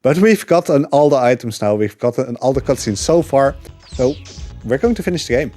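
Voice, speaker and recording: A man giving relaxed live commentary on his speedrun, explaining the route with frequent fillers. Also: mid-range voice.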